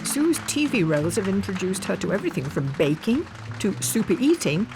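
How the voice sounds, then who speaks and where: A woman's voice: medium pitch, big pitch swings, brisk, background noise. A woman reading scripted voice-over narration for a television show. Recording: music underneath.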